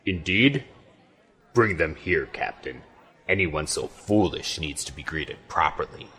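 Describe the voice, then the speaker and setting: A man playing a commanding, weary but fair army general in an audio drama, measured and authoritative. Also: deep voice, expressive, fairly bright tone, husky, full character voice. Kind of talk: scripted audio drama acting.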